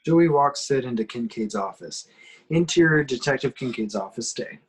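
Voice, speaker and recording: Male action reader, medium pitch, scripted scene narration for an amateur script read-through. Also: expressive, normal speed, slightly rough. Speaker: reader of screenplay action descriptions.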